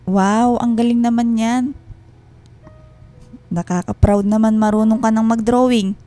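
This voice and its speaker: A woman's voice: on the high side, fairly even intonation, a little slow, dark tone, faint music behind, natural voice. An actress playing a lonely, guarded wife and mother in a radio drama, restrained and hesitant, politely hiding hurt. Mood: subdued, hurt.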